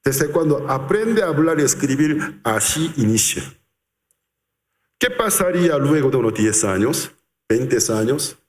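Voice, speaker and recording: Animated pastor in echoing hall. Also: male voice, mid-range voice. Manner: animated, acts out quotes, slows for emphasis. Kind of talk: sermon. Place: large reverberant church hall. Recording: echoey church hall.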